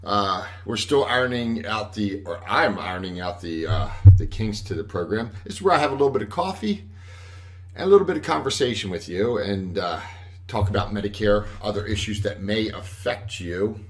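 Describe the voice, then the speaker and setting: A man hosting a casual livestream chat, rambling and correcting himself with frequent chuckles, with a loud voice. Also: on the low side, animated, normal speed, far from the mic. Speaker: host. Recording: echoey room, voice from afar.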